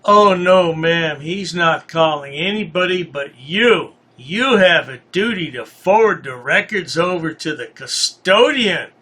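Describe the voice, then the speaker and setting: A man's voice: fairly high pitch, animated, a little slow, few pauses, sharp tone. A man giving mocking commentary as a host, with a sarcastic, taunting manner. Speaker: host commenting on played clips.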